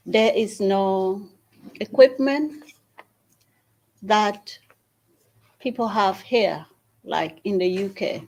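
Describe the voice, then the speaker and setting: A woman from Uganda, the founder of a small charity, gives an earnest speech at an event and makes an appeal with long pauses. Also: mid-range voice, darkish tone, rough recording. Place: event hall at a university.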